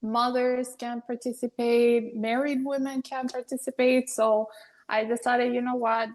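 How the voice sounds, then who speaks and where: A woman's voice: fairly high pitch, flat delivery, unhurried. Chatty young woman from Venezuela. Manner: chatty, laughs often, restarts sentences. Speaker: podcast guest. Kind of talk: casual interview conversation.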